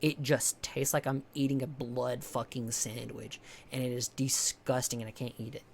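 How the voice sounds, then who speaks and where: A young man from the with a higher-pitched voice, chatting casually with his stream viewers, rambling, swearing a lot and restarting often. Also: level tone, moderate pace, creaky, slight background noise. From American South. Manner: rambling, heavy swearing, many restarts and fillers.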